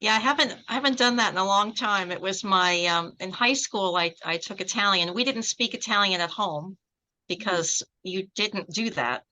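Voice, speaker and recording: A woman's voice: medium pitch, moderate pace, sharp tone, low-quality recording. A middle-aged American woman, a law professor, reminiscing in conversation with many fillers and restarts. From United States.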